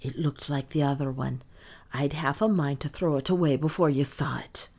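A woman's voice: low voice, normal speed, a little mellow. A woman voicing a superstitious, gloomy, stubborn old Irish maidservant with a dramatic, insistent delivery in an audiobook. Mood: prim, insistent. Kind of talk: audiobook character dialogue.

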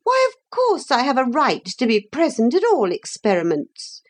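A smug grown-up lady villain from a storybook. Her voice is pushed high and swings widely in pitch, with false sweetness. She is bossy and talks down to everyone. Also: wildly swooping pitch, moderate pace, slightly rough, full character voice. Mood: condescending. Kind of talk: storybook character voice.

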